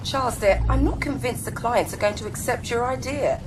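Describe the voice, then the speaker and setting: An actress playing a cold, ambitious, sharp-tongued businesswoman and stern mother in a video game cutscene. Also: on the high side, brisk, lightly acted. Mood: businesslike, weary. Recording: music behind the voice.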